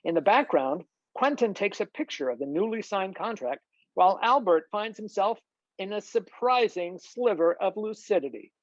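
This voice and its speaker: A woman's voice: mid-range voice, animated, normal speed, no room sound. A woman reading stage directions as scripted narration at a table read, on slightly dull video-call audio.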